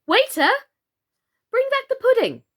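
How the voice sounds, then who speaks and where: A woman's voice: very high-pitched, wildly swooping pitch, unhurried, fairly bright tone, pitched above the performer's own voice, exaggerated voice. A woman voicing a polite, slightly anxious young girl who speaks hesitantly.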